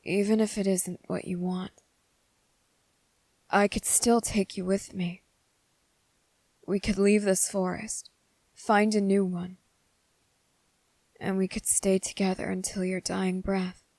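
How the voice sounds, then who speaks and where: A soft-spoken, possessive vampire lover, acted by a woman speaks slowly and intimately in an ASMR roleplay. His delivery stays flat and even, with barely any rise or fall. The recording is close and very dry, with no room sound. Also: medium pitch, no echo. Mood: tender, grave. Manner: slow, soft-spoken and intimate, slipping into whispers. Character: young male vampire, devoted and possessive lover.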